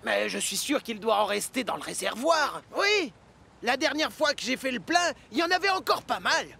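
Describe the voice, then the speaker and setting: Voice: mid-range voice, big pitch swings, moderate pace, vocal fry, pushed and bright, husky, exaggerated voice. An actor voicing mischievous, boyish twin tank engines in a French cartoon dub, teasing and boastful. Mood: cheeky. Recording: music behind the voice.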